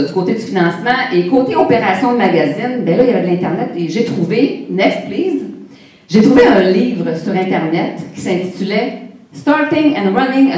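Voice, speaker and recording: A woman's voice: lowish voice, a little mellow. Québécois woman, 50s, conference speaker; candid, funny, heard from a distance in a reverberant hall. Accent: Québécois French. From Quebec. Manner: candid, humorous, addresses the audience directly. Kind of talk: motivational personal story talk. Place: large hall with an audience.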